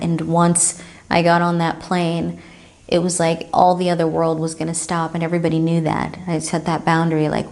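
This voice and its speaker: Woman, low and pretty monotone, steady with ums and likes. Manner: steady, with "um" and "like" fillers. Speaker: retreat participant. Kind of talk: documentary testimony.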